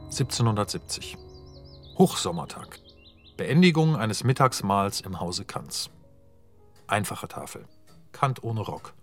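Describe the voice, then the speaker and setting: A man narrating stage directions in a radio play, plain and matter-of-fact. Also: mid-range voice. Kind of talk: scripted narration.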